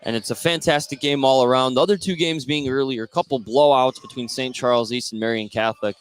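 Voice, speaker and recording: Energetic man calling live basketball. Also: mid-range voice, fast talker, clean tone, no echo. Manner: energetic, rising on scoring plays. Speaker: play-by-play announcer. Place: indoor gym at basketball tournament. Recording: noisy gym ambience behind voice. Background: gym ambience.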